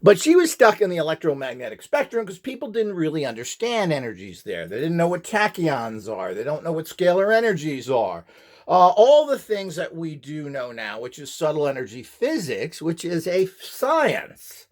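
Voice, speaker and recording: Opinionated man with a higher-pitched, swooping voice. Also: very animated, moderate pace, a little mellow. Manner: opinionated, dismissive of rivals. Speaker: instructor demonstrating his homemade devices. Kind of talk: informal unscripted demonstration talk.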